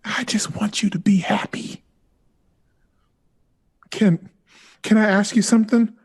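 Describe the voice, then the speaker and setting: A high-voiced performer playing a guarded, wry young adult son in an American audio drama, with a husky, earnest delivery. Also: steady tone, measured pace. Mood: earnest, careful.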